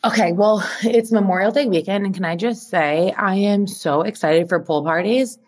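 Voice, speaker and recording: A woman with a medium-pitched voice co-hosts a casual podcast. She talks in an animated, very informal style, like someone telling stories to a close friend, and she swears freely. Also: moderate pace. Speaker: podcast co-host. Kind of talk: casual chat and storytelling.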